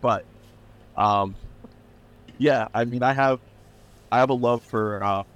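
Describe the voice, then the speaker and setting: A man's voice: mid-range voice, fairly even intonation, takes it slowly, a bit bright, muffled recording. A middle-aged man from Pennsylvania, a record label owner, chatting loosely in a podcast interview with many fillers and restarts. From Pennsylvania, USA.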